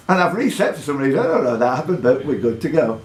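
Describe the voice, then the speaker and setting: A man's voice: fairly high pitch, sing-song, a little fast. A man hosting a live pub quiz, reading questions formally and chatting jokily in between. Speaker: quizmaster. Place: indoors in a pub. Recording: echoey pub room, music behind.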